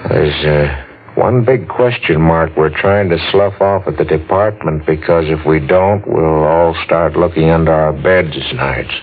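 A matter-of-fact police sergeant in a 1940s radio drama. He speaks low, in a measured, dry way. The recording is phone-thin with faint noise. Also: narrow, tinny audio.

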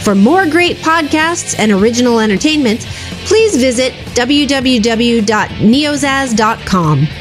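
A woman reading a scripted podcast outro as an announcer, with guitar music behind her. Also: high voice.

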